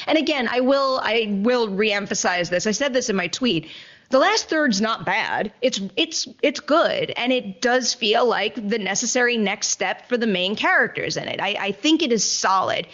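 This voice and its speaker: A woman with a fairly high voice hosts a casual film talk show. She is emphatic and enthusiastic, repeating phrases for weight. The recording is a little dull and closed-in. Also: a bit bright. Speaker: entertainment news show host. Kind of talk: casual movie review chat.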